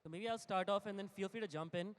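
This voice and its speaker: A man's voice: sits high, fast. A man in his twenties with an Indian accent, speaking casually as a co-founder on a panel. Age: 20s. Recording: echoey hall over microphone.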